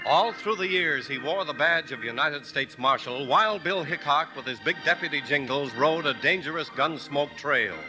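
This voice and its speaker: A man's voice: a little high, normal speed, hardly any pauses, rough recording. A man narrating a 1950s radio western as its announcer, in a dramatic, declamatory way. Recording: announcer over clear music.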